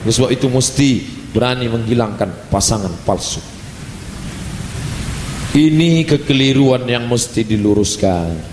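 Man, preacher, animated, roomy echoing hall, faint ambience. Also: medium pitch, unhurried, pauses often, noisy background. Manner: lively, animated delivery with playful asides. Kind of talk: religious lecture.

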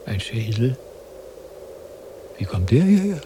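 An older actor playing a vain, grumbling old wandering philosopher in a radio drama, declaiming theatrically and questioning himself. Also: male voice, medium pitch, sing-song, slow pace, warm tone. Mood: musing. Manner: declamatory, self-questioning, cantankerous. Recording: noisy 1970s radio drama with sound effects.

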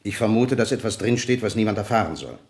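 An actor playing a probing, insistent police sergeant in a 1970s German radio crime drama, pressing with questions. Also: male voice, lowish voice, animated, fast talker, darkish tone. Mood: pressing, suspicious.

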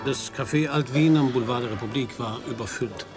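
A man's voice: mid-range voice, fairly quick, natural voice. Polite hesitant reporter guy in a radio play, played by a man, music going behind. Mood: narrating. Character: male American radio reporter Timothy Taylor, polite and curious. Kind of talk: radio play acting and narration.

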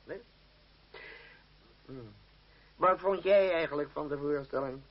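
An actor playing a vain, grumbling troupe leader who mutters and splutters theatrically in a radio play. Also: male voice, on the high side, takes it slowly. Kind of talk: radio drama acting. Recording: dull, muffled old radio play recording.

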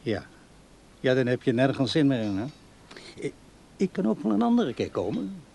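A man's voice: mid-range voice, sing-song, moderate pace, gravelly, darkish tone. A man playing a lonely old grandfather in a radio play, hesitant and awkwardly insistent, searching for words. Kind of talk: Dutch radio play acting.

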